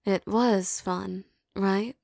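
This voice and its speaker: A woman speaks slowly and softly in a commanding, teasing tone, her voice carrying a gravelly fry. The recording is close and dry, with no room sound at all. Her words come unhurried and deliberate. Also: mid-range voice, steady tone, dry recording. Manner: slow, soft, commanding and teasing. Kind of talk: scripted hypnosis roleplay audio.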